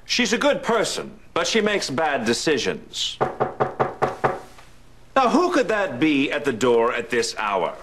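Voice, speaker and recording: An actor playing an earnest fatherly reverend in a 1930s radio serial, speaking in a measured, theatrical way. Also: on the high side, huge pitch swings, noisy background, exaggerated voice.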